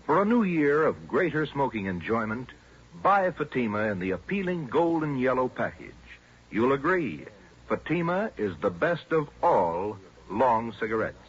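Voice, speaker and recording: An American man delivers a 1940s radio ad with polished emphasis. His medium-pitched voice moves up and down with animation. The old recording sounds dull. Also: big pitch swings, normal speed, slight background noise. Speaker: commercial announcer. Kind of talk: 1940s radio advertising read.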